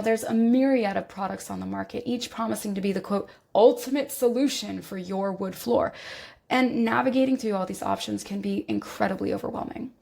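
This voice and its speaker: Woman hosting her podcast, voice all scratchy and gravelly from being sick, talks casual with lots of ums. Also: mid-range voice, a little mellow. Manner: conversational, with fillers and self-corrections. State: recovering from illness, slight cough. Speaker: podcast host.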